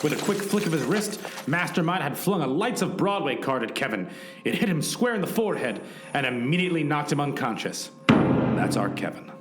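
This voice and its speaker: A man's voice: on the high side, sing-song, a little fast. A man playing an earnest young hero in a comic radio play, narrating and speaking with wide-eyed dramatic urgency. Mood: dramatic suspense. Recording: roomy echo with music underneath.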